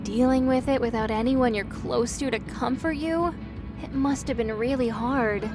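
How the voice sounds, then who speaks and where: A woman's voice: on the high side, moderate pace, over music, natural voice. A woman playing a caring, teasing young best friend in an audio roleplay, chatty and a little hesitant as she talks. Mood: playful, apologetic. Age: young adult. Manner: chatty, hesitant, self-correcting, playfully teasing. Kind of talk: interactive audio roleplay acting.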